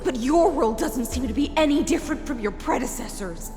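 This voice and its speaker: Game role: defiant, accusing woman, high voice, formal and deliberate, music behind. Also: lively intonation. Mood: indignant, reproachful. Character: stern, defiant woman challenging a tyrant. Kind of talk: dramatic video game voice acting. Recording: long reverb with music behind.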